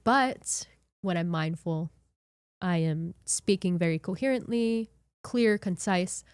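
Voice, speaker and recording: A woman's voice: fairly low pitch, slow pace, darkish tone, clean tone, dry recording. Bubbly, chatty woman from Hawaii. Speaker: podcast workshop presenter. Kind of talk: casual webinar chat.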